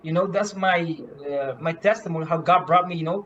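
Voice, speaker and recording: A man from Curaçao, a medical missionary, giving an earnest, halting faith testimony full of fillers and restarts. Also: high-pitched, a bit bright, muffled recording, slight background noise. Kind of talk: personal faith testimony in a live stream.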